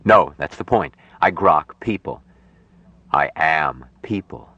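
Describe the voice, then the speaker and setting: A man voicing an earnest, tender young man raised apart from humans, speaking slowly and formally in an audiobook story. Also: rumbling low, expressive, sharp tone, breathy edge, dry recording, dull audio, full character voice. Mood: earnest, elated. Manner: slow, deliberate, formal phrasing.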